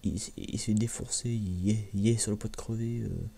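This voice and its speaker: Man, soft-spoken ASMR, gravelly low register, hesitant slangy rambling, near-whisper at times. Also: steady tone, normal speed. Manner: hesitant, informal, slangy, often repeating words. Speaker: host of an anime review video. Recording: clearly audible background noise.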